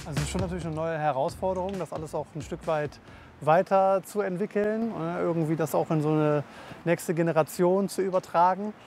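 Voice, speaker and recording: A man's voice: on the high side, fairly even intonation, fairly quick, darkish tone, slight background noise. A man running a restaurant, speaking in an interview outdoors.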